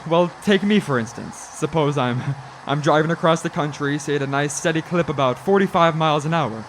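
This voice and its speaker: A man's voice: on the high side, brisk, a little hiss or hum, close to an everyday voice. A man playing a haunted, increasingly frantic cross-country driver in a radio drama, stammering and urgent as he speaks and narrates. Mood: awkward, probing. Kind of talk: old-time radio drama acting with first-person narration.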